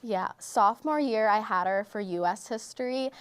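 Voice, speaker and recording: A woman's voice: medium pitch, normal speed, smooth. A teenage girl from Bulgaria, answering interview questions in a chatty way, saying "like" often. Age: teens. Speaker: high school student guest.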